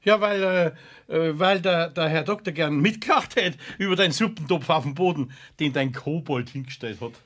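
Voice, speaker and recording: A man's voice: a little high, moderate pace, fairly bright tone, natural voice. A man playing a nosy, chatty mechanic with a Bavarian accent in a children's radio play, hesitant and insinuating. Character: nosy, chatty, fussy male mechanic friend.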